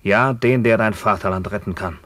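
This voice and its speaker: A man's voice: normal speed, fairly bright tone, natural voice. A man playing a bitter, maimed Roman back from captivity, declaiming with grim command in a radio drama. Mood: cold, commanding. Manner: declamatory, grim, swelling from low murmurs to fierce cries. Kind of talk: theatrical German radio drama acting.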